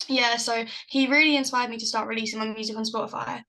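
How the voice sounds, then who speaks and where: A woman's voice: a little high, steady tone, fast, sharp tone. A young woman from South London with a South London accent, a singer-songwriter chatting casually on a video call, giggly and full of "like" fillers. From South London, England. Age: young adult. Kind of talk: casual interview chat.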